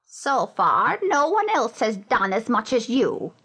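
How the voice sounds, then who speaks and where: A woman acts out a cunning old witch queen in a fairy tale. The voice is high and swings widely in pitch. She hands down her commands slowly, with measured menace and royal disdain. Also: very animated, a bit bright, husky, full character voice. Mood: threatening. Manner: slow, measured, menacing pronouncements.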